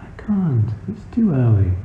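A man voices a reluctant young woman in a literary story. The manner is gentle and reasoning. It comes through thin and phone-like, with clear noise behind it. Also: medium pitch, very animated, a little slow. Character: reluctant young woman parrying a marriage proposal. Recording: muffled phone-like sound, noisy, roomy echo.

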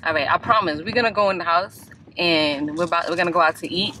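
A woman's voice: lowish voice, quick pace, bright, forceful tone. A young woman, chatting casually to her viewers while filming in a car. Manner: chatty, laughing, addresses viewers as y'all. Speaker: vlogger. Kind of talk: casual vlog chat. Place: inside a parked and moving car. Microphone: handheld camera at arm's length. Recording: noisy car interior.

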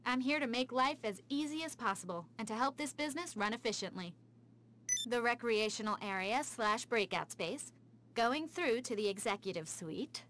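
A woman's voice: a little high, expressive, moderate pace, fairly bright tone, a little hiss or hum. A woman playing a polished, efficient executive assistant in a video game cutscene, composed and formal. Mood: courteous, composed. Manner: composed, formal, scripted welcome.

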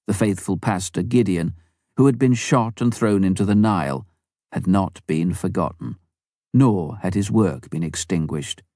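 A man with a very low voice reads a memoir as an audiobook, measured and earnest. The recording is close and dry, with no room sound. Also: mellow, no echo. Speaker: audiobook reader. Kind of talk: first-person memoir narration.

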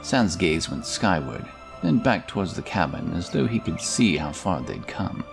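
Low voiced guy narrating fantasy tale, very animated, clear music behind. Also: moderate pace. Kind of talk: scripted fantasy story narration.

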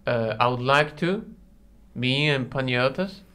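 A man's voice: medium pitch, unhurried, bright, forceful tone, clean tone. A man in his twenties politely interviewing guests, speaking close on a studio microphone. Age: 20s. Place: acoustically padded radio studio. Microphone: close broadcast mic.

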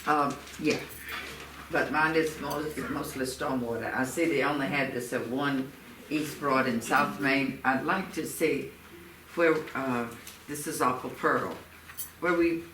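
A man speaking as a council member at a public meeting, halting and repeating himself as he searches for words. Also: medium pitch, steady tone, bright, forceful tone. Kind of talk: informal public meeting discussion. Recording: echoey room, distant mic, faint noise.

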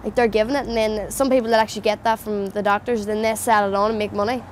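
A woman's voice: medium pitch, little pitch movement, fast talker, a little hiss or hum. A young woman with a Northern Irish accent, talking casually and matter-of-factly in a documentary interview. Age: young adult. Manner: casual, matter-of-fact, local slang.

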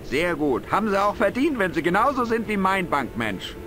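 A man's voice: high voice, big pitch swings, normal speed. An actor playing a simple, gullible, talkative village man in an adventure game, with naive, colloquial speech. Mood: indignant, puzzled. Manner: naive, colloquial, exaggerated swings of emphasis. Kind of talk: dubbed adventure game dialogue. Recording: music behind the voice. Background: game music.

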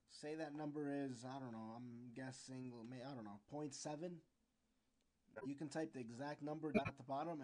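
A man giving an informal, unscripted software tutorial, explaining step by step and sometimes searching for words. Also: mid-range voice.

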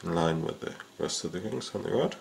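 Very deep, gravelly-voiced man demonstrating. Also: slight background noise. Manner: unhurried, with frequent "uh" and "um" fillers. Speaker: fishing rod repair instructor. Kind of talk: casual how-to demonstration. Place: indoors at a workbench.

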